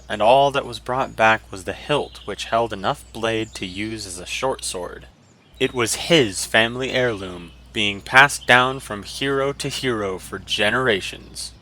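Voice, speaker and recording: A man's voice: medium pitch, bright, forceful tone. A man narrating a fantasy story in a dramatic, scripted audiobook style.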